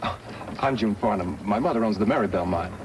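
A man's voice: fairly low pitch, fairly quick, natural voice. A young man playing an earnest, polite mining engineer in a 1950s radio western. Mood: curious. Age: young adult. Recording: noisy old radio recording.

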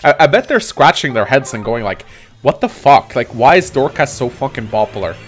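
A young man playing a mobile game and chatting casually, excitable, swearing and laughing a lot. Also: medium pitch, brisk, faint music behind. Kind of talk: casual gaming chat.

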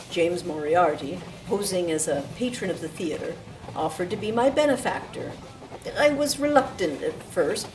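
A woman's voice: medium pitch, close to an everyday voice. A woman playing a coerced, defensive young actress in an audio drama, earnest and formal in her diction. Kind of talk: audio theatre acting. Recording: distant mic, background noise.

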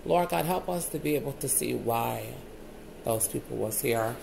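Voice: medium pitch, moderate pace, vocal fry, background noise. A speaker praying aloud in a long, wandering prayer, calling out "Lord God" again and again between loose phrases. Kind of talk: rambling spoken prayer.

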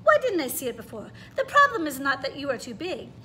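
Fond storybook ballet mistress, gracious and motherly, played by a woman; bright voice. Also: sits high, huge pitch swings, a little fast, a little hiss or hum, full character voice. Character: kindly grown woman dance teacher, fussy and fond.

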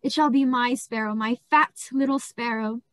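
A woman's voice: a little high, moderate pace, no room sound, natural voice. A woman acting a deranged male asylum patient in a radio play, pleading and gleeful, repeating words obsessively. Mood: creepy gentle glee.

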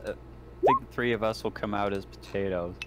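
A man's voice: on the low side, wildly swooping pitch, brisk, very noisy. A man playing a tabletop game, bantering casually in an irreverent, wisecracking manner.

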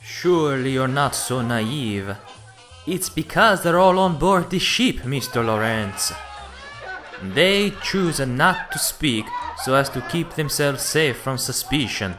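A man playing a smooth, sociable gentleman gambler with a courteous, theatrical manner in an amateur radio play. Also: mid-range voice, animated, takes it slowly. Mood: guarded. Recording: music and crowd chatter behind.

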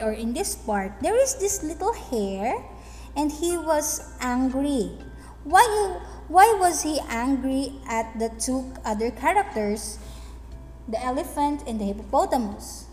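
A woman teacher with a high, lively voice gives an instructive lesson. She speaks slowly and deliberately in a reverberant space with faint music. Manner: deliberate, instructive, with check-in questions. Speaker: English teacher. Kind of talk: explanatory lesson with storybook reading. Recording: echoey hall-like room, faint music behind.

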